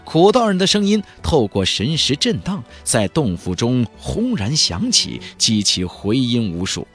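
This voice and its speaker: Husky, very animated male audiobook narrator. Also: medium pitch, measured pace, faint music behind. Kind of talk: dramatic scripted fantasy narration.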